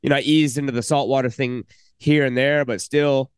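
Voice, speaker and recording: A man's voice: mid-range voice, fairly even intonation, a little fast, smooth, no room sound, clean studio sound. A man hosting a casual podcast interview, talking enthusiastically and rambling with frequent restarts. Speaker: podcast host.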